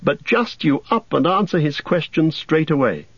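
A man voicing a gentle, earnest young hobbit. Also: fairly high pitch, lively intonation, normal speed, breathy edge, no echo, dull audio, voice pushed up. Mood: firm kindly.

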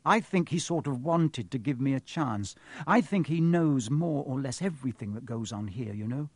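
A man's voice: mid-range voice, animated, normal speed, darkish tone, dry recording, close to an everyday voice. A man playing earnest young schoolboy wizard, dry close sound. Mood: thoughtful. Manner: earnest, questioning, thoughtful. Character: earnest, curious schoolboy wizard, thoughtful, sometimes pleading.

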